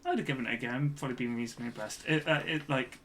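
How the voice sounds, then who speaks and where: A man co-hosting a podcast in casual chat, reasoning aloud in a halting, repetitive way. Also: mid-range voice, fairly bright tone, a little hiss or hum. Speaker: podcast co-host.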